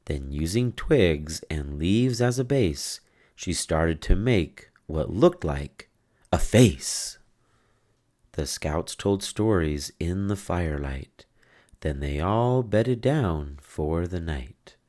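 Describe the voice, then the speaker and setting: A storybook narrator reads a children's tale aloud. The voice is extremely low and moves along slowly, line by line. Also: animated, darkish tone.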